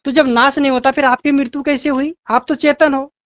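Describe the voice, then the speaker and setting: A man's voice: very high-pitched, flat delivery, a little fast, a bit bright, no echo. A man explaining his view insistently in a religious debate on a phone call, repeating phrases to press his point. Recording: dull lo-fi call audio.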